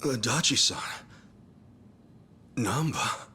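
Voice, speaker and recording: An actor playing an earnest, hot-blooded ex-yakuza hero in a video game cutscene, excitable and prone to stammering when sheepish. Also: male voice, medium pitch, takes it slowly, a little hiss or hum. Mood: touched.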